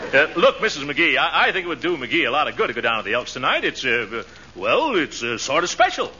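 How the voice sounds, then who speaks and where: An actor playing a pompous, chuckling middle-aged neighbour in 1940s radio comedy, blustering and hesitating as he talks. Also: fairly high pitch. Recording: dull, noisy 1940s radio recording.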